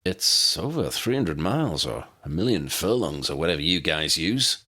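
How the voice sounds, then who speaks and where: A man's voice: sits low, sing-song, moderate pace, sharp tone, breathy edge, exaggerated voice. A man voicing a laid-back, puzzled young wizard in a story, informal and wry.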